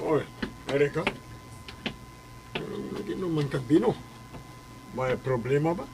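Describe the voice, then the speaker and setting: A man's voice: fairly high pitch, lively intonation, slow, background noise. A man acting in a Philippine radio drama as an older father-in-law, questioning insistently in a Filipino accent and standing up for his son. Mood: concerned, curious.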